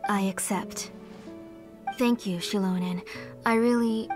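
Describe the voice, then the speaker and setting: A woman's voice: medium pitch, fairly even intonation, takes it slowly, natural voice. A woman playing a stern, anxious, devoted mother in a video game, speaking earnestly and formally. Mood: solemn. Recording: music behind the voice.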